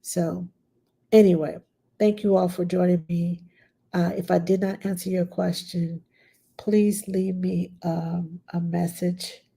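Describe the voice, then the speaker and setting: A woman's voice: on the low side, level tone, slow pace, gravelly, dark tone, slightly rough. A middle-aged woman with a stuffed-up nose, chatting plainly and bluntly as a nanny answering viewer questions on a live stream.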